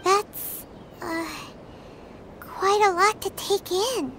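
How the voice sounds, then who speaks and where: A woman voicing a small, childlike, candid fairy companion in a video game, with a squeaky voice. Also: steady tone, drawn-out words, a bit bright, a little hiss or hum, exaggerated voice. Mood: curious, puzzled.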